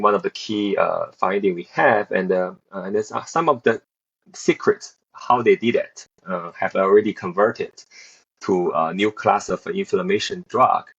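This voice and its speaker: A man's voice: low voice, gravelly, slightly rough, lo-fi sound. A man in his thirties, from South Korea and raised in Beijing, answering interview questions as a researcher, hesitant with many fillers and restarts. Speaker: researcher, assistant professor.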